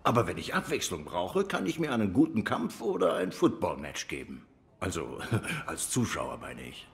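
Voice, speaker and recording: A man's voice: low voice, wildly swooping pitch, normal speed, creaky, exaggerated voice. An actor playing a bored, self-righteous dog policeman who rambles insistently in a noir video game. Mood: defensive, animated.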